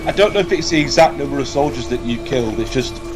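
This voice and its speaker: A man's voice: mid-range voice, expressive, fast. A man giving casual let's play commentary, explaining what to do and swearing now and then. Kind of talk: casual gameplay commentary and chat. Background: video game music.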